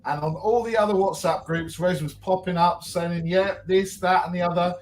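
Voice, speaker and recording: High-voiced man teasing his mates. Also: fairly even intonation, moderate pace, slight background noise. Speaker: fan podcast panellist. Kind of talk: casual banter.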